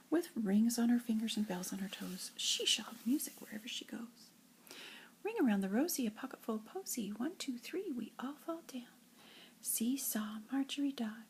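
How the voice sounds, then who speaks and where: An older woman with a breathy, husky voice reads nursery rhymes like a grandmother, in an expressive singsong storytelling way. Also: a little high, slight background noise, rough recording. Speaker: grandmother reading to children.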